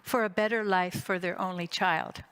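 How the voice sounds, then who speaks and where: A woman in her sixties from Greece and Canada gives a prepared conference talk as a psychotherapist, phrasing deliberately with emphatic repetition. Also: lowish voice, fairly even intonation, a bit bright, clean tone. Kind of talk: prepared conference lecture with personal story.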